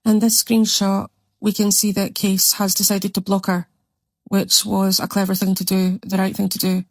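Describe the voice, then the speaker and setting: Indignant woman narrating in a flat tone. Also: medium pitch, normal speed, dry recording. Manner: indignant, condemning. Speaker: commentary narrator. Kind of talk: scripted narration over screenshots.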